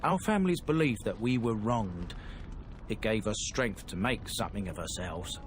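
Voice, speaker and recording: An actor playing an easygoing, chatty dwarf trader in a game. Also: male voice, fairly low pitch, lively intonation, normal speed, slight background noise, natural voice. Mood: friendly. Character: friendly male dwarf trader. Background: game music.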